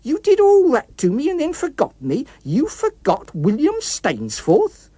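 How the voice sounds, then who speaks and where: A man's voice: extremely high pitch, wildly swooping pitch, a little slow, warm tone, no echo, voice pushed up, exaggerated voice. A man voicing a menacing rogue policeman, a vengeful criminal who taunts and accuses, in a dramatic audiobook. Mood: accusing, menacing.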